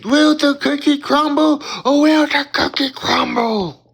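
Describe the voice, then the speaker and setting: A man's voice: very high-pitched, fairly even intonation, vocal fry, fairly bright tone. A man commentating his own game, drawing out dramatic, boastful taunts and calling opponents noobs. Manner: drawn-out dramatic chanting taunts. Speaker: gamer commentating own match. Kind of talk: hyped trash-talk commentary.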